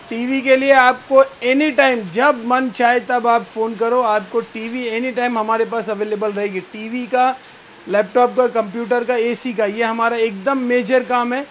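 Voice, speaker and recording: A man in his thirties from India, walking through a cluttered electronics warehouse on a lapel mic, eagerly reeling off prices and calling the viewer brother. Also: slight background noise. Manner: pitches prices eagerly, calls everyone bhai. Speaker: warehouse seller showing stock. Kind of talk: energetic sales walkthrough.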